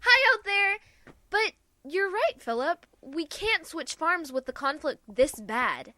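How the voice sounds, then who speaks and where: A woman playing an excitable, cheeky young female farmer in a hobby radio play, with a playful, theatrical, exclamatory delivery. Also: very high-pitched, slow, heavily acted. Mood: cheerful.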